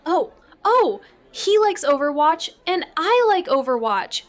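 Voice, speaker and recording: An actress playing an awkward, evasive young niece in a naturalistic audio drama, halting and flustered as she talks. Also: extremely high pitch, sing-song, full character voice. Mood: eager, awkward. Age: young adult.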